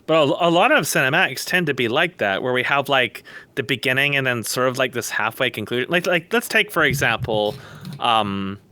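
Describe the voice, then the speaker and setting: A man's voice: medium pitch, animated, a little fast, bright, forceful tone. A man talking casually on a podcast over a voice call, rambling at length with blunt, sardonic, profane opinions. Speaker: podcast guest. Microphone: close mic on voice chat.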